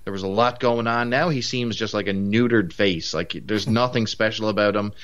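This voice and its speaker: A man's voice: lowish voice, moderate pace, a bit bright. A man from Newfoundland with a Newfoundland accent, chatting casually on a podcast in an opinionated, rambling way. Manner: opinionated, rambling, frequent fillers. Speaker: podcast co-host. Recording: muffled lo-fi audio.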